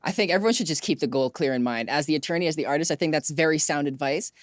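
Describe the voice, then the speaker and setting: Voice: mid-range voice, rapid-fire, dry recording, clean studio sound. A podcast host chatting casually in an interview, asking questions in an agreeable, inquisitive way.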